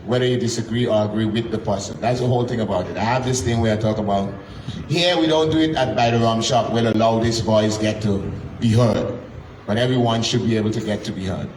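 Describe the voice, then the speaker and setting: A man hosts a community talk night, introducing the next speaker in loose, rambling sentences. His voice is heard from a distance, with room echo and steady background noise around him. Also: lowish voice, fast. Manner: rambling, informal. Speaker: host. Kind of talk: event host introduction. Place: event room. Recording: echoey room, distant mic, noisy.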